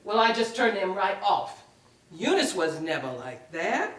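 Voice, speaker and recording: Actress as haughty wounded lady, slow and expressive. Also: mid-range voice. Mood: indignant, offended. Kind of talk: staged dramatic reading. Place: indoor live reading at a public library. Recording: echoey room, picked up from a distance.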